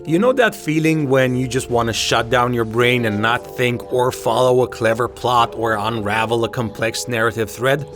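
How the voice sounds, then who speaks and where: A man's voice: medium pitch, normal speed, few pauses. A man giving casual, opinionated commentary as a video host, talking conversationally and swearing freely. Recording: music behind the voice. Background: background music.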